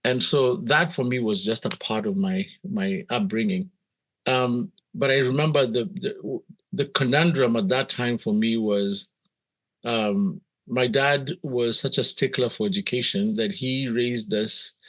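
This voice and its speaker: A middle-aged man with a Kenyan accent, telling personal stories in a reflective, measured, conversational way as an interview guest. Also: medium pitch, fairly bright tone, poor audio. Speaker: podcast guest, missionary pastor.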